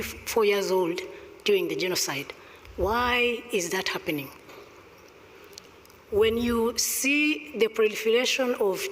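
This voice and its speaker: Panelist from Rwanda, emphatic, deliberate, repeats for emphasis, middle pitch, long hall reverb. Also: fairly bright tone. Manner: emphatic, deliberate, stresses points with repetition. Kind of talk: panel discussion answer.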